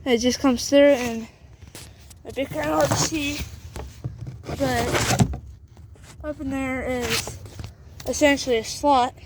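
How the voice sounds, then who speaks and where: A woman giving a casual, halting how-to walkthrough in and around a pickup truck, leaning on fillers and repeating herself as she explains. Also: high voice, fairly even intonation, background noise. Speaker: video host showing a vehicle setup.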